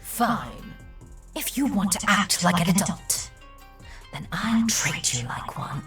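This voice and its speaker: A woman voicing a cruel, possessive spider demon mother in a visual novel story, with slow, menacing delivery that slips into breathy half-whispers. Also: fairly low pitch, big pitch swings, a bit bright, voice pushed down, full character voice. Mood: cold, scornful. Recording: echoey, distant, music underneath.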